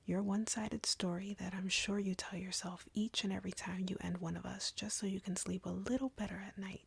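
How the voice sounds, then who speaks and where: A woman playing an arrogant, cruel, flirty female vampire captor in an audio roleplay, taunting in an intimate, breathy, husky whisper. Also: medium pitch, fairly even intonation, dry recording. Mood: bored, accusing. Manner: taunting, slow, deliberate, drawling.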